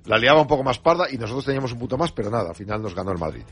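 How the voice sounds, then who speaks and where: A man's voice: mid-range voice, expressive, racing, a bit bright, slightly rough, soft background music. A man hosting a radio talk show, chatting and bantering about football. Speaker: radio host.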